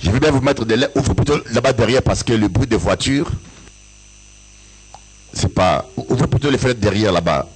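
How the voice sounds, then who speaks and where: A bishop from Africa giving an impassioned church sermon, his voice rising in sing-song peaks and breaking into shouts. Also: mid-range voice, moderate pace, long pauses, slight background noise, poor audio. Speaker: bishop and pastor. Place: church service with an audience.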